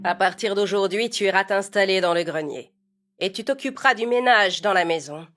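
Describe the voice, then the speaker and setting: A woman's voice: mid-range voice, fairly quick, fairly bright tone, faint music behind, close to an everyday voice. A woman playing a cold, domineering stepmother in a children's storybook, with a commanding, disdainful manner. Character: haughty, cold, domineering stepmother of adult age.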